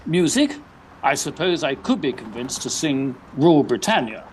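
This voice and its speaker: A man playing a vain gentleman detective, speaking in a pompous, formal, drily witty way. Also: medium pitch, sing-song, moderate pace, a little hiss or hum, lightly acted. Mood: pompous, dry.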